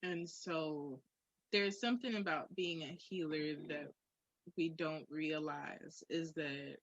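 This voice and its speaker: A woman's voice: low-pitched, slow pace, no room sound, muffled recording. A woman co-hosting a podcast in candid, casual chat, telling things conversationally with little acted asides. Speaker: podcast co-host.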